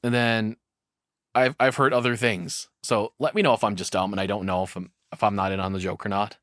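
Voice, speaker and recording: A man's voice: on the low side, flat delivery, brisk, fairly bright tone, no echo, clean studio sound. A man giving casual reaction commentary as a streamer, rambling along and swearing freely.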